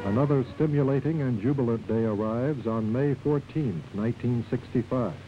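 A man's voice: medium pitch, normal speed, music in the background. A man reading a scripted propaganda documentary narration in a formal, declarative manner. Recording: muffled, noisy old film soundtrack.

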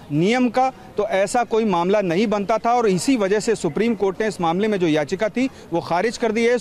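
A man in his forties, a field reporter speaking into a handheld mic outdoors, explaining a court ruling in a measured way. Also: sits high, a little mellow. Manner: measured explanatory, glossing terms. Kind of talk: live reporting from outside a court. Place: outdoors by a street. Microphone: handheld reporter microphone.

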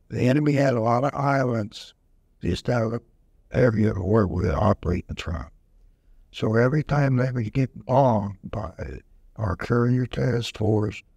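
A man in his nineties slowly recalling wartime service in an oral history session, halting with many fillers, speaking into a handheld mic. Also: medium pitch, creaky, darkish tone, slightly rough, dry recording. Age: over 90. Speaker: veteran interviewee. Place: museum event room, indoors. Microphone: handheld mic held close.

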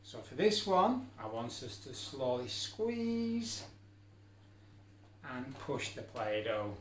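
Slow-paced encouraging man in an echoey room. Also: medium pitch, sing-song, slight background noise. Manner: encouraging, simple step-by-step phrasing. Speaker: special-needs school teacher leading an activity. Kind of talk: children's instructional exercise video, simple encouraging instructions. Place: indoor room at a wooden table. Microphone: camera a few feet away. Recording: echoey room, music in the background.

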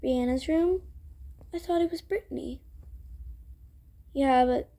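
A woman with a high voice acts out an improvised roleplay story. The delivery is slow and halting, with a soft, mellow tone and pauses between phrases. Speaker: video creator voicing all characters. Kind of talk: improvised character roleplay storytelling for a toy-world game video.

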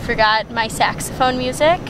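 A woman's voice: a little high, normal speed, over a noisy crowd. Young woman answering in a noisy car. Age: young adult. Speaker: arriving college freshman. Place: inside a parked car. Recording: loud car and street noise.

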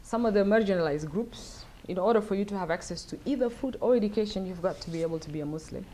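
A middle-aged woman from South Sudan answering audience questions at a lectern, speaking thoughtfully with many pauses and fillers. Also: medium pitch, dark tone, slight background noise. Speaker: guest speaker. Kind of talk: lecture Q&A answers. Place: wood-paneled event room, at a lectern. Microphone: lectern mic.

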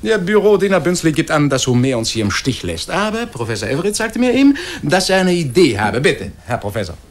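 A man's voice: on the high side, very animated, brisk. An actor playing a jovial, impatient male newsroom boss in a German radio play. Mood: businesslike, urgent.

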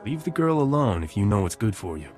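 An actor playing a cocky, flirtatious starship captain in a video game, wry and self-assured with a slightly husky voice. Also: male voice, fairly low pitch, big pitch swings, fast, a little mellow, faint music behind. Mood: challenging. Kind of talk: video game dialogue acting.

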